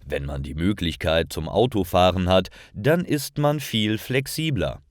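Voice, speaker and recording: A man reading a scripted story for language learners, with careful, clearly separated phrasing. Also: low voice, animated, a little slow, no room sound, clean studio sound. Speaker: narrator of language-learning stories.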